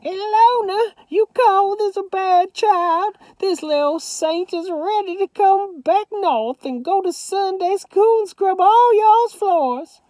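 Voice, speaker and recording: Loud old Southern granny. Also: female voice, very high voice, takes it slowly, hardly any pauses, no echo, voice pushed up. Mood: shouting. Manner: shouting, weepy, domineering. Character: loud bossy elderly Southern grandmother.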